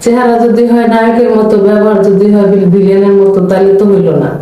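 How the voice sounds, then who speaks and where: A woman's voice: mid-range voice, steady tone, quick pace, dark tone. A young woman from Rajshahi, Bangladesh, answering interview questions in an earnest, firm way and repeating her point. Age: young adult. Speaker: interviewee seeking a marriage partner. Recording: very echoey room.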